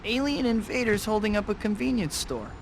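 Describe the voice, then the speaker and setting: A man's voice: high-pitched, expressive, fairly quick, creaky, background noise. An actor playing an earnest, put-upon young male superhero in a video game, with a wry manner. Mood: easygoing. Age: young adult. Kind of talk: scripted video game acting.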